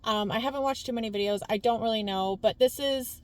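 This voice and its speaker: Woman vlogger, high natural voice, scattered casual delivery. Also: a little fast, low-quality recording. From Canada. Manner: rambling, jumps between points with many fillers. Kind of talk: casual talking-head commentary.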